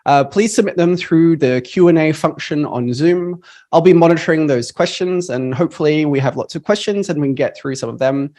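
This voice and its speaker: A man's voice: fairly high pitch, brisk, mellow. A man hosting an online panel on a video call, upbeat and friendly, with frequent fillers. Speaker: panel moderator.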